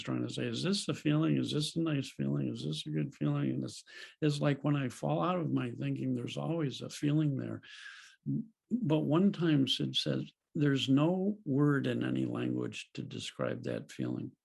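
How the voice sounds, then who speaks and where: A man leading a group video call as a spiritual teacher, speaking in a gentle, unhurried way and laughing softly now and then. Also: mid-range voice, animated, creaky, husky. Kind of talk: reflective teaching talk.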